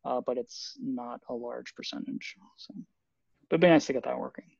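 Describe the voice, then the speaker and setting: A man, a software developer, explaining technical updates at a team meeting on a video call, thoughtful and full of fillers. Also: mid-range voice, normal speed, vocal fry, husky, dry recording. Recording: dull lo-fi video call audio.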